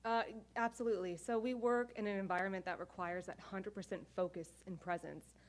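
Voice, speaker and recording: A middle-aged woman with a mid-pitched voice gives earnest testimony at a press conference. She speaks in a measured way, as a working air traffic controller. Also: fairly even intonation.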